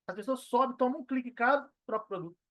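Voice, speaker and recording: A man's voice: very high voice, rapid-fire, pushed and bright, no room sound. Brazilian man talking emphatically, dry close sound. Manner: emphatic, colloquial, often quoting own thoughts. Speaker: podcast guest, affiliate marketer. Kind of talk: casual interview talk, telling own experience.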